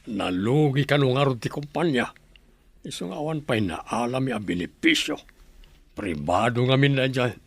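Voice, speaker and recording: A man's voice: medium pitch, animated, normal speed, creaky, no echo. A man with a Filipino accent playing an earnest, dutiful grown son in a radio drama. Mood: downcast. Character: dutiful, earnest grown son and suitor, middle-class worker.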